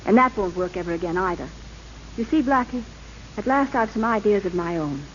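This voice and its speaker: A woman's voice: medium pitch, lively intonation, a little fast, close to an everyday voice. An actress playing a wistful, sincere young woman in an old radio drama, with earnest theatrical delivery on a muffled, hissy recording. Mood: resolute, hurt. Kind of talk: 1930s-40s radio drama acting.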